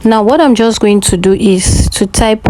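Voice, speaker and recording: Woman doing a casual step by step tutorial, mellow low-key voice, keeps checking ur following. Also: normal speed, a little hiss or hum. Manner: instructional, often checking with "okay?". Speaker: tutorial presenter.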